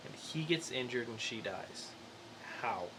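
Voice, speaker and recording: A man's voice: medium pitch, expressive, measured pace, fairly bright tone, slight background noise, rough recording. A man co-hosting a podcast in a casual, chatty style. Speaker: podcast co-host. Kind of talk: casual chat with a quiz segment.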